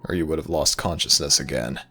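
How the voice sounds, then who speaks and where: An ancient god of the dead, played by a man in an intimate audio roleplay. His voice is extremely deep and gravelly, with a forceful edge. He speaks in formal, measured phrases, gruff yet protective. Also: soft background music, exaggerated voice. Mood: gentle concern. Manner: formal, measured, archaic phrasing, addressing a listener as child. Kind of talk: ASMR audio roleplay, slow intimate dramatic monologue.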